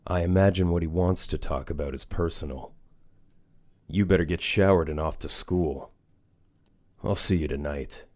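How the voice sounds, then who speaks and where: A man playing a grim, stern vigilante mentor in a comic dub, brooding and terse, with measured delivery. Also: low voice, natural voice. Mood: stern, reserved. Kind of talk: comic dub narration and dialogue. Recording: music behind the voice.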